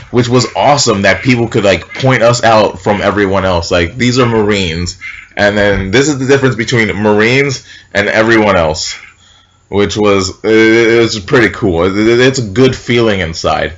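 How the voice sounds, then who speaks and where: A young man telling a story casually and with a lot of swearing, with a loud, heavy voice, acting out the shouted lines he quotes. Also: mid-range voice. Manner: animated, profane, acts out shouted quotes. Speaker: vlogger. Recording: dull lo-fi sound.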